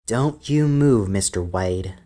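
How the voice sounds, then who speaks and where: Woman as a low-voiced, surly Old West teenage boy. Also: expressive, takes it slowly, warm tone, exaggerated voice. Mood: surly, defiant. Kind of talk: amateur audio drama acting.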